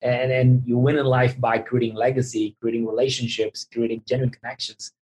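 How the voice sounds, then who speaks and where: A podcast host speaks at a medium pitch in a conversational interview style. The delivery is earnest and encouraging, circling back to repeat key phrases as it sums things up. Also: flat delivery, brisk, a little mellow, a little hiss or hum, rough recording. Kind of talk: conversational interview, reflective recap.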